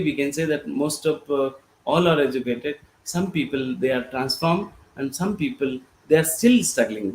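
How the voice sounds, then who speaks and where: A man from India with an Indian accent, whose first language is Gorboli, speaking as a panelist in a halting way with many fillers and restarts. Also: mid-range voice, reverberant, slight background noise, rough recording. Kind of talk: panel discussion talk.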